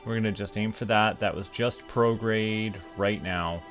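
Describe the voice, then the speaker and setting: A man's voice: fairly low pitch, fairly even intonation, unhurried, a bit bright. A man with a Canadian accent giving casual gameplay commentary as a livestream host to a live chat. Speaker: livestream host playing a space simulation game. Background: music.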